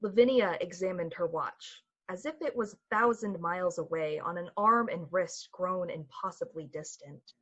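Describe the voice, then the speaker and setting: A woman's voice: on the low side, normal speed, few pauses, a bit bright, husky, muffled recording. A woman playing the solemn storyteller of an eerie radio play, narrating a scripted tale. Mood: solemn, hushed.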